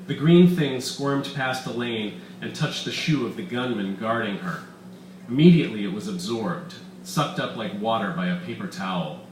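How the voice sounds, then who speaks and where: A man's voice: fairly low pitch, expressive, normal speed. A man narrating his own comic science-fiction short story at a live reading event in a bar. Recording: roomy echo, distant mic, faint room noise.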